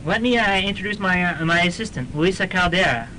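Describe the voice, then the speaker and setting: High-voiced, nervous stammering professor, acted by a man. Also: pushed and bright, a little hiss or hum, rough recording. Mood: flustered. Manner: halting, flustered, stammering. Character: nervous, stammering male professor and hobby demonologist. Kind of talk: student radio comedy drama acting.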